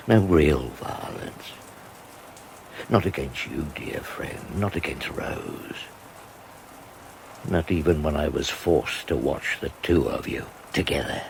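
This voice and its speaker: A soft-spoken gloating killer. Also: male voice, sits low, creaky, a little hiss or hum, exaggerated voice, poor audio. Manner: softly gloating, bitter. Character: quiet vengeful middle-aged murderer, gloating. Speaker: radio drama actor. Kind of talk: 1980s radio drama acting.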